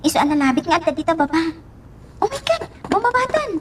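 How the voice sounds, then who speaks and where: A woman's voice: very high-pitched, big pitch swings, brisk, recorded from a distance. An actress with a Filipino accent playing a teasing, bubbly young woman in a radio drama. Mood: excited, teasing. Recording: loud music bed under the voice.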